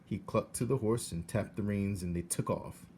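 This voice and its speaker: A man reads a children's story aloud with expression. His voice sits fairly low, and there is clear noise in the recording. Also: moderate pace, dark tone. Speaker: read-aloud narrator. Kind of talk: expressive scripted storybook narration.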